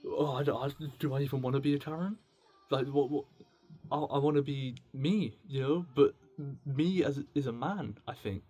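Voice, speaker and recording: A man's voice: medium pitch, normal speed, dark tone, slightly rough. A man in his late teens talking casually and confessionally to camera, rambling and stammering as he thinks aloud. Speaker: video blogger.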